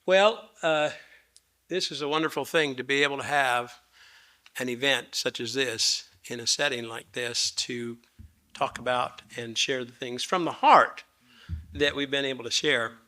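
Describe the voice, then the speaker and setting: A raspy man in his sixties moderates a panel, his voice bright and forceful despite the rasp. He speaks graciously and formally, wandering a little as he thanks each guest. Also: medium pitch, measured pace.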